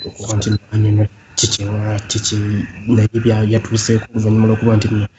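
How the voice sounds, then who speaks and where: A man's voice: lowish voice, monotone, quick pace, lo-fi sound, background noise. A man interpreting on a radio show, asking questions and mixing in English words.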